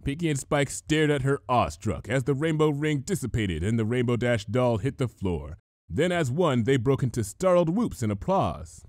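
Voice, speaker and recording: A man's voice: mid-range voice, expressive, moderate pace, a little mellow, dry recording, studio quality. A man reading a story aloud as a scripted storybook narration. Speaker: fan fiction reader.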